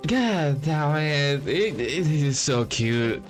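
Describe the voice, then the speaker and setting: A man's voice: a little high, animated, extremely slow, faint music behind. A young man giving casual, off-the-cuff reaction commentary over a game, slangy and profane. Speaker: game streamer.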